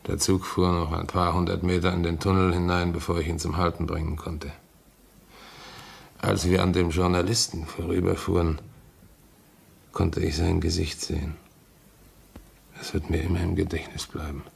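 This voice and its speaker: An actor playing a shaken, grave train driver in a radio play, speaking deliberately. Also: male voice, sits low, little pitch movement, vocal fry, breathy edge, exaggerated voice. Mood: grave, horrified.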